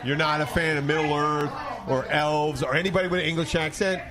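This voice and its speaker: A man's voice: on the high side, fairly even intonation, a little fast, over a noisy crowd. A man hosting a podcast in a casual, joking chat style. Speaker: podcast host. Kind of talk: casual comic bar chat.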